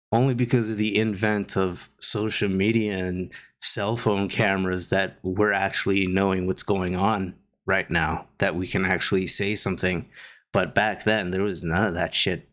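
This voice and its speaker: A man from Guyana giving a casual opinion monologue, rambling with many pauses and restarts. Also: deep voice. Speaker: commentator reacting to online drama. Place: Canada.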